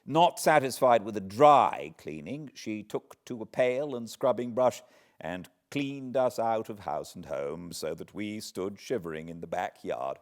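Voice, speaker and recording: A British man reading a classic novel aloud as an audiobook narrator, with expressive, deliberate phrasing. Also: lowish voice. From Britain. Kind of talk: dramatic literary storytelling, read aloud.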